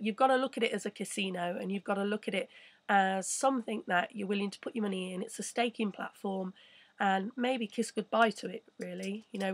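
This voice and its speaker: A woman hosting a casual live stream, chatting and rambling with a croaky voice. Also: mid-range voice, no echo. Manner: chatty, rambling, reads viewer messages. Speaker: crypto channel host.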